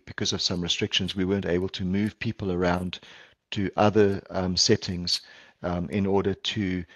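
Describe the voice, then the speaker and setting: A man's voice: low-pitched, a bit bright, slightly rough, no room sound. A middle-aged man with a South African accent, a Portuguese native speaker, giving an earnest conference talk over a video call with frequent ums and restarts. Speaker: palliative care physician presenter. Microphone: video call headset or laptop mic.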